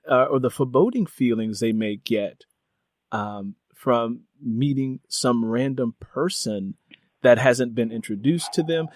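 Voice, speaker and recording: A man's voice: medium pitch, no echo. An American man hosting a conversational interview, putting questions in a wordy, circling way with repeated phrases. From United States.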